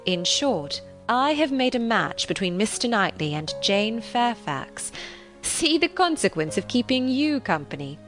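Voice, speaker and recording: Woman playing a genteel, gently persistent married lady. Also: a little high, big pitch swings, faint music behind. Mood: sly, confiding. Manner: reasoning, measured formal period phrasing.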